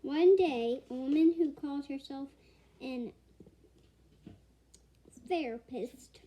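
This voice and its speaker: A woman reading a children's picture book aloud, expressive and emphatic, sometimes halting over words. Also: high-pitched. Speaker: storyteller.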